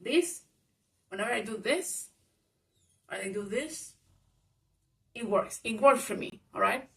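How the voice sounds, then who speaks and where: A woman whose first language is Spanish talks through a personal story, with a Spanish-speaking accent and a loud voice. Her speech runs on with fillers and restarts, and a gravelly fry creeps in. She sits a little back from the microphone. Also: on the high side, animated, a little slow, distant mic. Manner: rambling, lots of fillers, grows loud when reenacting arguments. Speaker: vlogger.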